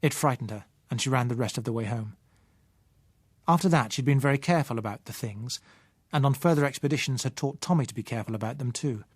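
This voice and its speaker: A British man narrates a first-person novel. His voice is mid-pitched and his delivery is measured and literary. The recording is dry, with very little room around the voice. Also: breathy edge, dry recording. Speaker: audiobook narrator.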